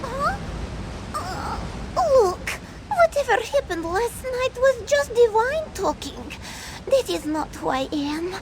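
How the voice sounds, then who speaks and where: Very high-pitched sassy cat girl. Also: sing-song, slow, noisy background, exaggerated voice. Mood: embarrassed, awkward. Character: small sassy party-loving cat woman, indignant and flippant. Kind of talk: AI-voiced video game quest dialogue.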